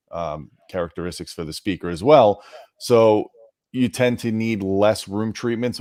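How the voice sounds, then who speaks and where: A low-pitched man hosts a technical interview. He explains things in a conversational way and talks straight to the viewers. The sound is dry, with very little room. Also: darkish tone, no room sound. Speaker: host interviewing an audio product manager.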